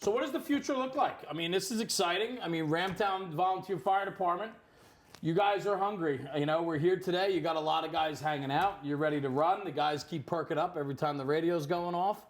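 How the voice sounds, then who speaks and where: Higher-pitched, effusive middle-aged male host. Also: brisk. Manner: effusive, encouraging. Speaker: interviewer, host. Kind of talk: casual on-location interview. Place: firehouse kitchen.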